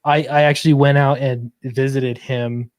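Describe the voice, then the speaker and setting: A man from Utah talks casually as a guest on a show about comics. His voice is mid-pitched, and it is recorded dry, with very little room around it. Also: little pitch movement, normal speed, no room sound. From Utah, United States. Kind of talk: casual chat.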